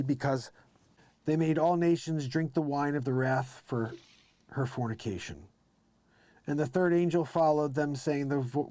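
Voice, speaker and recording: A man's voice: mid-range voice, dark tone, no room sound. A man hosting a live read-along, reading scripture aloud in an emphatic, declamatory way and adding casual informal commentary. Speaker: live show host.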